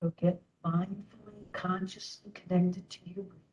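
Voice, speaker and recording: A woman leading a guided meditation as instructor, speaking gently in short phrases with long pauses. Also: fairly low pitch, level tone, lo-fi sound.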